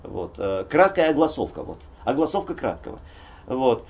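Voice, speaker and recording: A man in his sixties from Russia, a poet talking in a relaxed interview, rambling and digressive with many hesitations. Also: mid-range voice, normal speed, highs cut off. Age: 60s. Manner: digressive, frequent hesitations and "vot" fillers. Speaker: poet and polyglot guest. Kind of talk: conversational interview, discursive storytelling.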